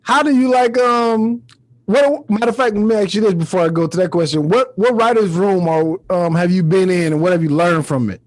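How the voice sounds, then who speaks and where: A man with a fairly high-pitched voice talks as a podcast host and comic. His delivery is casual and slangy, like easy conversation between fellow comedians. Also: fairly quick. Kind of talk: casual chat.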